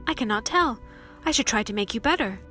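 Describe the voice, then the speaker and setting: Woman playing a gentle, loving storybook mother. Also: fairly high pitch, expressive, brisk, soft background music. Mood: sad. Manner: tender, gentle. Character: loving, gentle, earnest mother of small children.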